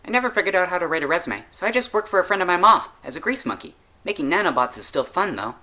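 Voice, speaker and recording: A man plays a cheerful teenage girl in an audio story, pitched well above a man's talk. The girl sounds bright, casual and unpretentious. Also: lively intonation, fast. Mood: casual, friendly. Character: sixteen-year-old girl, casual, cheerful, unpretentious grease monkey.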